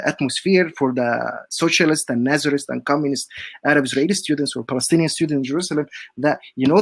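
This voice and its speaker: A man's voice: a little high, dry recording. A young man from Egypt, a podcast guest giving long, earnest answers that lay things out step by step.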